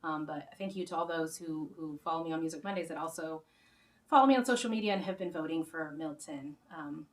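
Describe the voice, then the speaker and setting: A woman's voice: deep voice, fairly quick. A woman hosting her own music show, chatting casually to viewers in a rambling way with many restarts, her voice a little worn. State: slightly losing her voice. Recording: roomy sound, mic far off, faint guitar.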